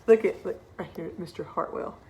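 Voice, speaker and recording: A woman's voice: lowish voice, normal speed, darkish tone, slight background noise. A woman storyteller reading a picture book expressively to children, engaging listeners with rhetorical questions and asides. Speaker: read-aloud storyteller.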